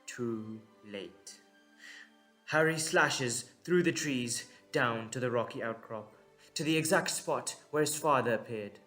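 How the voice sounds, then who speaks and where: A man narrating a dramatic story in short, measured, suspenseful phrases. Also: medium pitch, fairly bright tone, soft background music.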